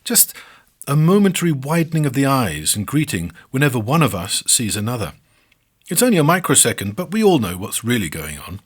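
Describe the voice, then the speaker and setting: A man voicing a friendly, knowing woman who explains things with quiet amusement in a narrated story. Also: medium pitch, sing-song, brisk, breathy edge. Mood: knowing, explaining. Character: friendly, knowing Pacific Islander woman from New Zealand.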